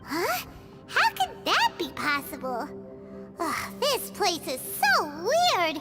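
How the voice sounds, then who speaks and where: A woman voicing a tiny, childlike, cheeky and easily scared fairy companion in a video game, with a squeaky voice. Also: wildly swooping pitch, slow pace, loud music behind the voice, full character voice. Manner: talks about herself in third person.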